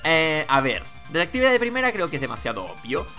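A man's voice: fairly high pitch, sing-song, a little fast, bright, forceful tone, soft background music. A man giving casual let's play commentary, hesitantly thinking aloud.

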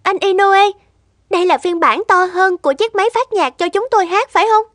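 Earnest high-pitched young cartoon woman. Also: a little fast, fairly bright tone, dry recording. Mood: eager. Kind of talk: animated cartoon dialogue.

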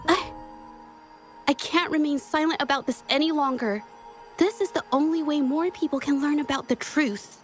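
A woman voices a young painter in an anime game dub. Her very high voice is gentle and earnest, hesitant yet principled, with faint music behind. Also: normal speed, lightly acted. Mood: nervous, resolute.

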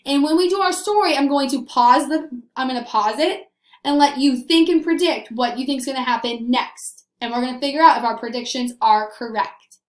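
A young woman with a high voice teaches little children in an animated, very enthusiastic way. She stretches words for emphasis and puts questions to the viewers. The sound is roomy and echoing. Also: a little fast. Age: young adult. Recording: roomy, echoing indoor recording.

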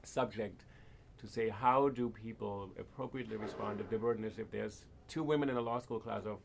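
A man's voice: on the low side, fairly quick, creaky. A man hosting a podcast interview, asking probing questions and thinking aloud. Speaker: podcast host. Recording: faint background noise.

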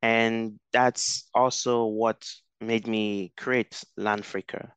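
A man's voice: fairly low pitch, flat delivery, extremely slow, a bit bright, clear, resonant tone, no echo. A young man from Nigeria, a researcher interviewed over a video call, speaking thoughtfully with many restarts and fillers. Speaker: guest researcher. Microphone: headset.

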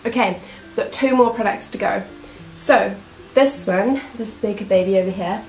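A woman's voice: medium pitch, darkish tone. A young woman with a New Zealand accent, nasal from a cold, chatting enthusiastically through a skincare product review while seated in a small room. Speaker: beauty vlogger. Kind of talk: casual product review talk. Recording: roomy echo.

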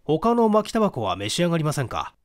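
Casting: male reader as polite, methodical detective for mystery audiobook; higher-pitched male voice, animated intonation, dry close sound. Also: no echo. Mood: courteous. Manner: polite, measured, explanatory. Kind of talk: dramatic audiobook reading of a mystery.

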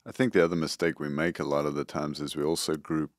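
A middle-aged man, a school superintendent, giving earnest, measured interview answers into a close studio boom mic. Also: level tone, no room sound. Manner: earnest, measured, repeats words when starting. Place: podcast studio room.

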